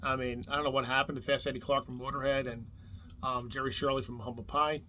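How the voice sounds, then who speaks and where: A man with a thick New Jersey accent talks his way through a casual countdown of favorite albums. His voice sits at an ordinary middle pitch for a man. He rambles with enthusiasm, stumbling over restarts and fillers as he goes. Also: level tone, fast talker, sharp tone, slight background noise. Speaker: YouTube host.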